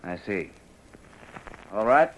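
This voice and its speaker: An Australian police detective, firm and commanding, with a widely moving pitch. He questions tersely in old radio crime drama style. The recording is clearly noisy. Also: expressive, slow, fairly bright tone, muffled recording. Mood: stern questioning.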